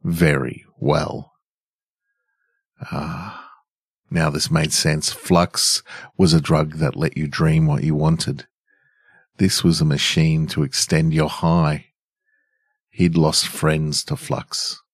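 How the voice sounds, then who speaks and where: A middle-aged man hosting a podcast and reading stories in a measured way. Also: extremely low pitch, little pitch movement, breathy edge, no echo, clean studio sound. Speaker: podcast host and story reader. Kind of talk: scripted story narration and casual podcast outro.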